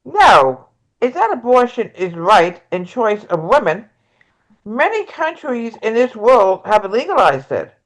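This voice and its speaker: A woman reading the part of an earnest progressive presidential candidate in an amateur play table read, with halting, repetitive phrasing. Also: on the high side, very animated, low-quality recording. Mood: argumentative.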